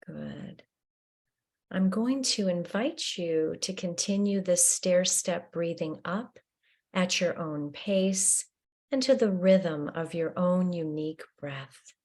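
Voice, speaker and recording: A woman guiding a breathing meditation, her voice a little low and her delivery very unhurried. She speaks gently and soothingly, leaving long pauses between cues.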